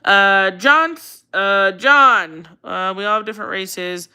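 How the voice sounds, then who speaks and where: A woman's voice: mid-range voice, slow pace, sharp tone, clean tone. An American woman from Colorado hosting a casual livestream, chatty and rambling, repeating phrases for emphasis as she answers viewers. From Colorado, United States. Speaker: livestream host.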